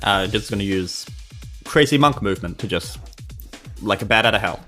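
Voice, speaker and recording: Wry New Zealand man, animated pitch swings. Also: normal speed, faint music behind. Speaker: tabletop game player. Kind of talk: casual in-game banter.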